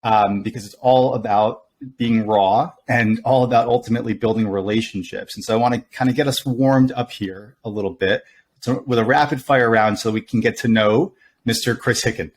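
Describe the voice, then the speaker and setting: A man's voice: mid-range voice, moderate pace. A middle-aged man hosting an interview podcast, opening the show and asking questions in an upbeat, enthusiastic way. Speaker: podcast host and company CEO.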